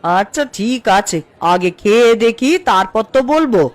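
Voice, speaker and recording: A woman's voice: on the high side, lively intonation, unhurried, dry recording. A woman playing an assertive, sensible housewife in a Bengali cartoon, speaking firmly. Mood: firm, reasoning.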